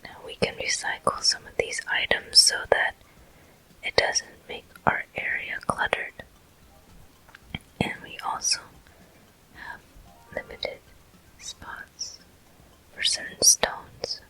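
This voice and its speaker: An ASMR gameplay host speaks entirely in a breathy whisper. The delivery is very slow, gentle and deliberate. The sound is crisp and very bright, with hissing air in place of a full voice. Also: rough recording.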